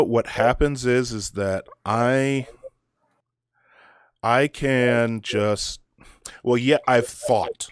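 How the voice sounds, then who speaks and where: Young guy with a super deep low voice, dry close sound, keeps restarting as he thinks. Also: dry recording. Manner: thoughtful, halting with restarts. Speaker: guest. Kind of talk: casual conversation.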